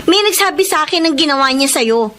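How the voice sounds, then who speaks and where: An actress playing a kind, outspoken young woman student who talks frankly and warmly. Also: sits high, animated, a little fast, slight background noise. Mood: indignant. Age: young adult.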